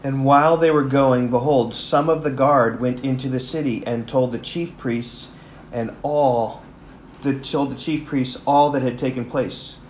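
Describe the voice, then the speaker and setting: A man's voice: medium pitch, steady tone, unhurried. Male pastor host, enthusiastic, chatty asides to listeners, faint hiss in background. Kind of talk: casual chat, then Bible reading.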